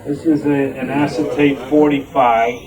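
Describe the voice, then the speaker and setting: A man's voice: mid-range voice, level tone, measured pace, a crowd in the background. A man giving an informal show-and-tell talk as a club member presenting items from a collection. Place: club meeting room with members behind. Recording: distant mic, noisy room.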